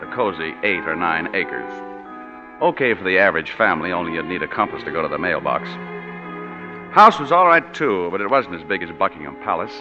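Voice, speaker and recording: An actor playing a wisecracking hard-boiled private detective who narrates his own story in a 1940s radio drama, with dry, sardonic delivery. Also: deep voice. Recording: dull 1940s radio broadcast with music.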